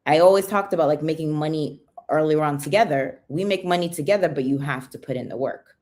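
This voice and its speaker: A woman with a low voice and an American accent talks casually to her livestream audience. She is young and chatty, keeps checking in with "right?" and greets viewers by name. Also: a little fast, darkish tone. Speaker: livestream host.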